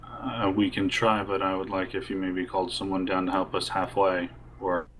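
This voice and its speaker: A man's voice: low-pitched, little pitch movement, normal speed, a bit bright. A man playing a role-play game, talking through a plan with another player. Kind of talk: casual discussion in a game. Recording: distant mic with background noise.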